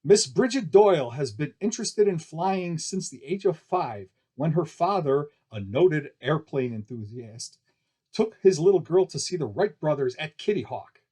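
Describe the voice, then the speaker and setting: A man's voice: on the high side, expressive, normal speed, dark tone, slightly rough. A man voicing a grandly upbeat flying-circus barker in an audiobook story, with declamatory, folksy showman patter. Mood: folksy, excited. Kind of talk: ballyhoo announcing in an audiobook story.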